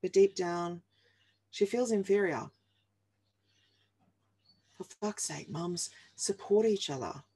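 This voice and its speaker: An Australian woman in her forties reads humorous verse at an unhurried pace. Her delivery is expressive and deliberate, with a wry, playful touch. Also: medium pitch, breathy edge. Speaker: reader of a humorous picture book. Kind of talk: expressive read-aloud of comic rhyming verse. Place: small home office, indoors.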